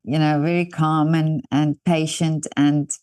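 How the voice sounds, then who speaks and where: A woman's voice: deep voice, flat delivery, a little slow, smooth. A woman from South Africa, an Afrikaans native speaker with a South African accent, hosting an interview and talking in an encouraging, rambling way. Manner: warm, encouraging, rambling with restarts. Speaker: interview host. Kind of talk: interview conversation.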